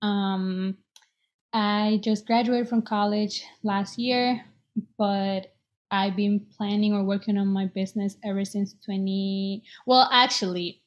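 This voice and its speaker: A young woman in her twenties from El Salvador, speaking as an interview guest in an earnest, reflective way and pausing often with "um". Her voice sits at a middle pitch, and the recording sounds slightly dull. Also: fairly even intonation. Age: 20s. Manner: hesitant, many "um"s, earnest and reflective. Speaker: guest, business owner. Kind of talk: casual interview conversation.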